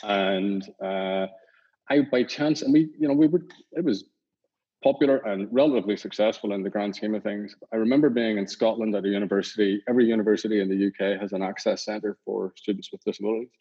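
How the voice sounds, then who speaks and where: A middle-aged man from Northern Ireland with a Northern Irish accent, a company founder chatting in an interview, relaxed and rambling with many fillers. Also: fairly low pitch, fast, husky. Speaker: company founder and CEO, guest. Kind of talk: casual interview talk. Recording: dull lo-fi audio.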